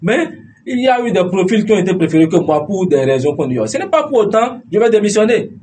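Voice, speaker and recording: A man's voice: high voice, big pitch swings, fast, darkish tone, a little hiss or hum. A man with a Beninese French accent in a heated political debate, speaking as a party activist and insistently repeating phrases to hold the floor.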